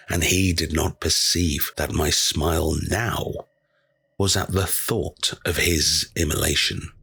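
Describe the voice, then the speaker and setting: Deep, husky dramatic storyteller man. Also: a bit bright. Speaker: narrator. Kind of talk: dramatic reading of a classic story.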